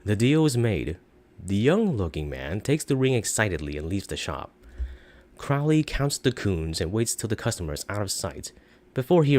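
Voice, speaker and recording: A man with a low voice narrates a story aloud. His delivery is expressive, with pitch that moves widely from phrase to phrase.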